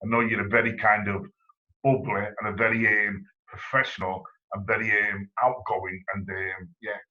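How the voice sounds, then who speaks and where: A man's voice: fairly low pitch, flat delivery, moderate pace, bright, forceful tone, lo-fi sound. A man from England hosting an online interview, enthusiastic and effusive, with rambling questions. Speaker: interviewer, channel host.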